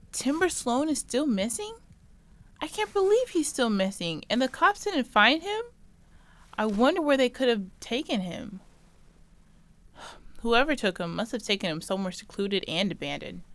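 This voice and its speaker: A woman's voice: a little high, a little slow, pauses often. Woman playing a secretly caring supervillain, thinking aloud. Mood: worried surprise. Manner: expressive, talks to herself. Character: supervillain woman secretly worried for her missing rival. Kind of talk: audio drama acting, thinking aloud.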